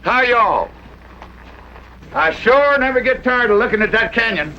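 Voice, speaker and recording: An actor playing a folksy, nosy, chattering rancher with an American Western drawl and a wry, rambling manner in an old-time radio western. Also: male voice, very high-pitched, expressive, measured pace, sharp tone, full character voice. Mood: neighborly. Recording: muffled, noisy old-time radio recording.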